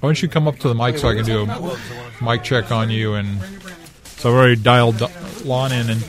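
A man's voice: medium pitch, fairly even intonation, a little fast, a crowd in the background. A man hosting a podcast, chatting casually and playfully with his co-hosts before recording, steering the others to the mics. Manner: playful, joking, directs the others. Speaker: podcast host. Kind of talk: casual pre-show chat.

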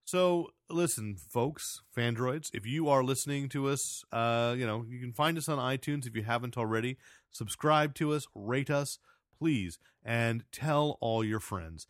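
A man hosting a casual podcast, chatty and rambling, with a loud voice. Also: mid-range voice, a little slow, dry recording, clean studio sound. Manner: rambling, self-correcting, chatty plugs. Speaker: podcast host and editor.

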